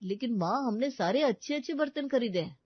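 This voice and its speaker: A woman's voice: fairly high pitch, expressive, brisk, breathy edge, no echo, lo-fi sound, pitched above the performer's own voice. A woman voicing a young married son who complains about the food. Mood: complaining.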